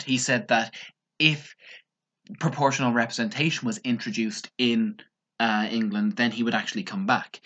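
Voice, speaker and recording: A man's voice: mid-range voice, a bit bright. A man commenting on a TV clip in a casual, sarcastic and mocking way. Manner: sarcastic, mocking, mimics others. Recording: dull lo-fi sound.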